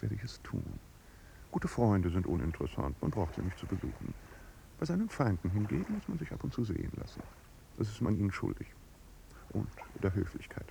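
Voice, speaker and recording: Gravelly, dry, urbane duke. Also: male voice, on the low side, very animated, brisk, dark tone, low-quality recording. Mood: dry, disdainful. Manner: dry, polished, ironic. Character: ironic, urbane duke, coolly courteous and haughty. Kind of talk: 1950s German radio play acting. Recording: 1950s radio recording, faint noise.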